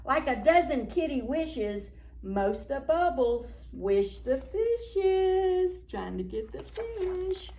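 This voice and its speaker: A woman storyteller reading a picture book aloud to young children in a playful, dramatic, expressive way. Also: sits high, slow pace, few pauses. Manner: animated, playful, dramatic reading with gasps and exclamations; addresses young children with simple questions.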